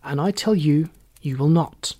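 A narrator voices a firm gentleman in an audiobook story. He is steady and curt, with quiet scorn in his replies. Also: mid-range voice, unhurried, lightly acted. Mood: firm, scornful.

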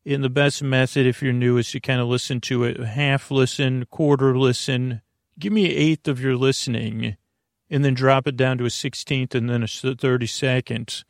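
A man with a creaky voice hosting a sleep podcast, rambling through a goofy, self-deprecating bedtime monologue with halting repeats, drawn-out words and droning tangents. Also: medium pitch, little pitch movement, no room sound, studio quality. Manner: meandering, halting, repetitive, with trailing tangents.

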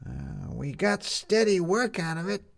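Man putting on a high, excitable street kid. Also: measured pace, creaky, exaggerated voice. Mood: eager. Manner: hesitant then blurting, colloquial. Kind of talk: storybook character dialogue.

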